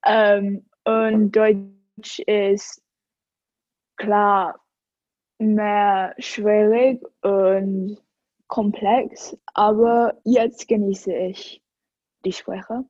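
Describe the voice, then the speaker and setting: Very slow, mellow teen girl. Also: mid-range voice, level tone, no echo, muffled recording. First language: Greek. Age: teens. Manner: deliberate, searching for words. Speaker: student podcaster. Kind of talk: casual chat.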